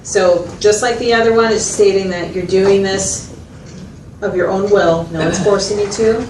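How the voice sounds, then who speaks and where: A woman's voice: mid-range voice, fairly even intonation, moderate pace, natural voice. A woman playing a patient, methodical mental health clinician in a role-played consultation, explaining and checking understanding with questions. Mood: patient, explanatory. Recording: echoey, distant mic, noisy room.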